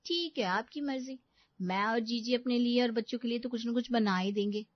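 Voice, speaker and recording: A woman's voice: a little high, brisk, highs cut off, close to an everyday voice. A woman voicing a cheeky young daughter-in-law who justifies herself huffily in a cartoon family comedy. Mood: huffy. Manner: self-justifying, huffy.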